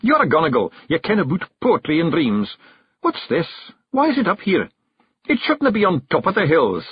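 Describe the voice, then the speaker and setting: A man voicing a small, fierce male pictsie clan leader with a gruff Scottish accent, blunt and commanding. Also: on the high side, huge pitch swings, moderate pace, no echo, muffled recording, voice pushed up, full character voice. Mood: questioning.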